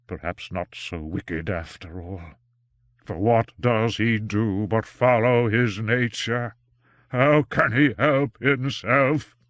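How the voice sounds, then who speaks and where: A man voicing a tormented, cursed magician who speaks slowly and intensely in an audiobook. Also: on the low side, fairly bright tone, no echo, clean studio sound. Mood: feverish. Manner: slow, intense and declamatory. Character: tormented cursed male magician, grave and brooding. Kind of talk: audiobook character voice.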